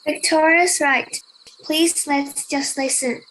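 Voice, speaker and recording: A woman's voice: high voice, steady tone, slow. An Australian girl of about ten playing a polite, watchful Victorian schoolgirl in an amateur radio play. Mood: polite, wondering. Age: 10 to 11, child. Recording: noisy home recording with crickets.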